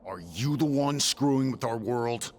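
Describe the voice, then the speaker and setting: An actor playing a stern, impatient fighter in a video game, curt and confrontational. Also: male voice, medium pitch, unhurried, slight background noise, natural voice. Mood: demanding. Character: stern, blunt male fighter, impatient and demanding. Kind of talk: video game cutscene acting.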